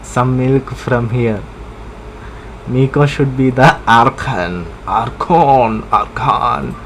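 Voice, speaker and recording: Slow-talking man commenting over gameplay. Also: medium pitch, a bit bright, a little hiss or hum. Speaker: game streamer.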